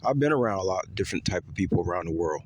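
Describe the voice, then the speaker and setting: A man talking in a casual, rambling monologue to his viewers, repeating himself with lots of fillers and letting his voice swing higher and lower. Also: animated, rapid-fire. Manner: pitch swings up and down. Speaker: vlogger.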